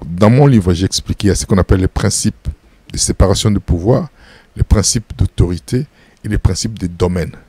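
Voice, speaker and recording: A pastor from Congo, answering interview questions in an emphatic preaching style, full of repeated phrases and rhetorical questions. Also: male voice, deep voice, a little mellow, breathy edge, no room sound. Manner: firm and insistent.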